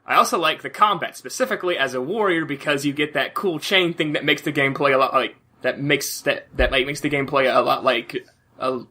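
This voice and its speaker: A man chatting casually as a podcast co-host, halting and repeating phrases as he searches for words. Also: mid-range voice, sharp tone. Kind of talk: casual chat.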